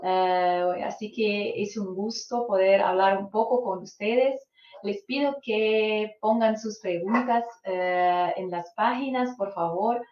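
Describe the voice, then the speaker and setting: A woman's voice: medium pitch, slow pace, darkish tone. A Brazilian woman whose first language is Portuguese, giving a live online lecture as a psychologist, hesitating often with "eh". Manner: hesitant, frequent "eh" fillers. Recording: roomy, dull lo-fi stream audio.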